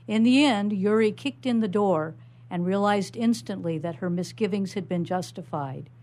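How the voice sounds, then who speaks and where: Woman narrating short fiction, medium pitch. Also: normal speed, a little mellow. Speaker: author reading own short fiction. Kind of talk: scripted story narration.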